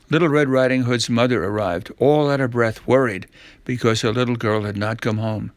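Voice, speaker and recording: A man narrating a children's fairy tale in a measured, expressive storybook style. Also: medium pitch, dry recording, clean studio sound.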